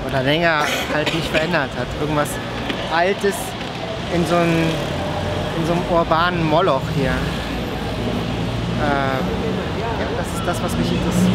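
A man's voice: on the high side, heavy background noise. A man in his forties from Hamburg, a street photographer, talking casually outdoors on a busy street and often repeating words as he searches for them. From Hamburg, Germany. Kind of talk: casual interview. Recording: music playing underneath.

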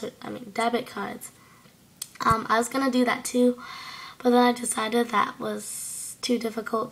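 Teen girl vlogger, hoarse from a cold, gravelly and fairly monotone, chatty and full of ums, close on a laptop webcam. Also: mid-range voice. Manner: chatty, rambling, many fillers. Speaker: exchange student vlogger. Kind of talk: casual video diary. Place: small bedroom indoors at night. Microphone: laptop webcam at close range.

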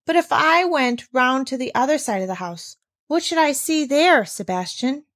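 Woman voicing an earnest, curious little girl, high-pitched. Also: lively intonation, moderate pace, fairly bright tone. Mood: disappointed, curious. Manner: innocent, earnest.

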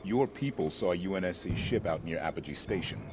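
A man's voice: low-pitched, lively intonation, brisk. An actor playing a composed male squad leader in a video game, speaking in a clipped, formal, commanding way. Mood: composed, firm. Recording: loud battle and engine noise behind. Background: game ambience, vehicles, combat.

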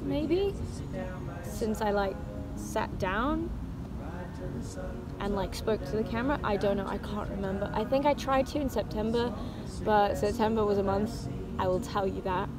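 Young woman, twenties, casual student vlogger, mid pitch, music underneath. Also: measured pace. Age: 20s. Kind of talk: casual chat to camera.

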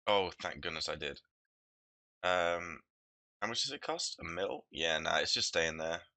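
A man with a low voice and a slight illness talks through his game session in a loose, rambling way, restarting his sentences and swearing casually. The recording is dry and close, with no room sound. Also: animated, a little slow, pushed and bright, no room sound. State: a little ill. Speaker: game video commentator. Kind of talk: casual gameplay commentary.

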